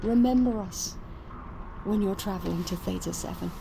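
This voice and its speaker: A woman's voice: mid-range voice, normal speed, gravelly, noisy background, natural voice. An actress playing an earnest, loving middle-aged mother urging on her astronaut son in an audio drama. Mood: earnest, emotional.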